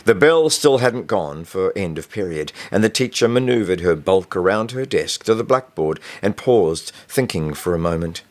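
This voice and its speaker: A man's voice: low voice, animated, normal speed, hardly any pauses. A man reading his own novel as scripted audiobook narration, telling a first-person story in a conversational way.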